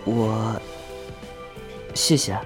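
A man's voice: fairly low pitch, very slow. A man acting a bashful, lovestruck young geek who stammers and hesitates, in a romantic audio drama. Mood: shy. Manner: stammering, hesitant, easily embarrassed. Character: shy, flustered, lovestruck geeky young man. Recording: music bed under the voice.

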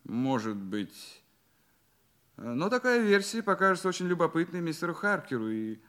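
An actor playing a confident, courteous detective in a Russian radio drama, questioning politely and deliberately. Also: male voice, fairly high pitch, sharp tone. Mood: assured, brisk. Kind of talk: Russian radio play acting.

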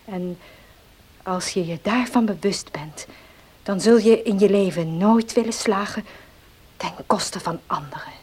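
An actress in a Dutch radio play playing a devout, gentle farmer's wife, soft-spoken and earnest. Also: female voice, mid-range voice, a little slow, breathy edge. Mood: solemn, admonishing.

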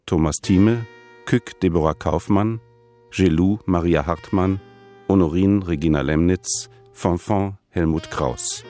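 A man's voice: deep voice, a little mellow. A man announcing the title and cast of a radio drama in scripted style.

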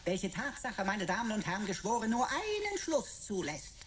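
A woman's voice: mid-range voice, sing-song, normal speed, lightly acted. Woman playing an overwrought sarcastic computer, noisy background. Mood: theatrical, triumphant. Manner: pompous, florid, archaic wording. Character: eccentric, chattering, sarcastic talking computer sidekick. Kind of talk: radio play acting.

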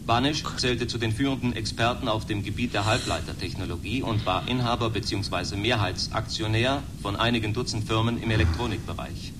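A man's voice: on the low side, fairly quick, few pauses, noisy background, natural voice. A man playing a sober news announcer in a German radio play, reading formally and matter-of-factly. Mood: neutral, formal. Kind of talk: scripted news bulletin within a radio play.

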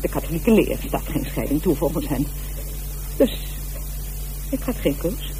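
A woman's voice: sits low, big pitch swings, measured pace, noisy background. An actress playing a defensive, self-righteous wife and mother, in radio drama style. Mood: desperate, defiant. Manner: haughty, insistent, breaking into agitated self-justification.

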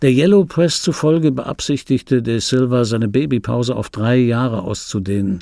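A middle-aged man with a mellow, gravelly voice recorded close and dry. He muses aloud as a wry, world-weary dubbing actor in a radio play. His delivery is laconic and intimate, like private reminiscing. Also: mid-range voice, dry recording, exaggerated voice. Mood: dry, ironic. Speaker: radio play actor, first-person narrator.

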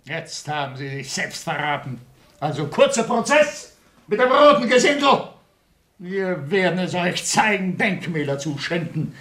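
An actor playing a bullying reactionary major in a radio play, barking orders and insults in a hectoring, commanding manner. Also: male voice, sits high, big pitch swings, unhurried, fairly bright tone, full character voice. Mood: furious threatening.

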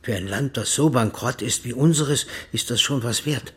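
An actor playing a scheming, world-weary secret agent in a German radio drama. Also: male voice, medium pitch, normal speed, husky. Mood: calculating.

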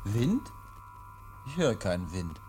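Calm probing cop inspector, lowish voice, dry, old radio drama. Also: male voice, soft background music. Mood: sceptical. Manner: measured, dry, quietly insistent. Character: composed, probing, dry-witted male police inspector. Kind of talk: 1950s German radio play acting. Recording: vintage 1950s radio recording.

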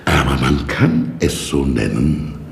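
An actor playing a dry, mocking male jailer in a 1960s radio drama, ironic and unhurried. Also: a bass voice, animated, vocal fry, reverberant, big-room sound, slight background noise, exaggerated voice.

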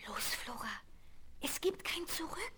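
A woman's voice: very high-pitched, big pitch swings, unhurried, in a whisper, exaggerated voice. A woman playing a bold, cheeky young circus girl in a 1970s German children's radio play, with an exuberant, commanding manner. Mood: commanding, showy. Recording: noisy 1970s vinyl transfer.

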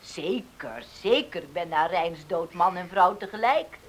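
A woman's voice: on the low side, animated, unhurried, slight background noise. An actress playing a strong-willed, outspoken farm widow and mother in a radio drama, teasing and defiant.

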